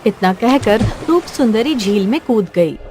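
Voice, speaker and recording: A woman's voice: a little high, animated, unhurried, background noise. A woman reading scripted narration for a cartoon fairy tale as the storyteller. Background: music.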